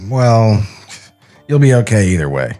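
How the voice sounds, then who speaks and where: A man's voice: on the low side, very animated, a little slow, warm tone, faint music behind. A sarcastic, profane man co-hosting a comedy podcast, riffing critically during a table read. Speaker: podcast co-host.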